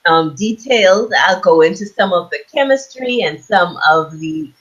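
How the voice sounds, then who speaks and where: A woman's voice: lowish voice, expressive, moderate pace, fairly bright tone, husky. A woman from the Bahamas explaining her work as an interview guest on an online call. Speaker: interview guest, aromatherapy business owner and author. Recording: dull lo-fi online call audio.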